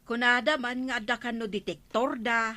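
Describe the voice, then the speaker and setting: A woman's voice: on the high side, fairly even intonation, moderate pace, pushed and bright, no echo. An actress playing a village woman who passes on local news in a matter-of-fact way in a radio comedy drama. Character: village woman reporting gossip about treasure diggers.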